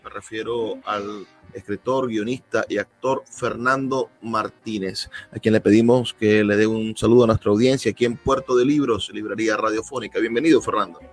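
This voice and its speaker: A man with a Venezuelan accent hosting a radio interview in a semi-formal, deliberate, wordy way. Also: lowish voice, fairly even intonation, faint music behind.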